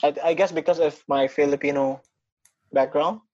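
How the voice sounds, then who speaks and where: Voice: mid-range voice, fairly even intonation, normal speed, a little mellow, lo-fi sound. A speaker from Indonesia with American-leaning English, a school English program coordinator talking things over reflectively in a casual chat. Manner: reflective, self-questioning.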